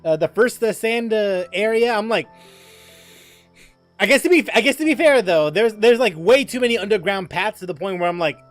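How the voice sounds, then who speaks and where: A young male streamer with a fairly high voice chats casually over a video game. He rambles, stumbles over repeated words and laughs often, while faint game music plays behind him. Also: animated, moderate pace. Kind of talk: casual gameplay commentary.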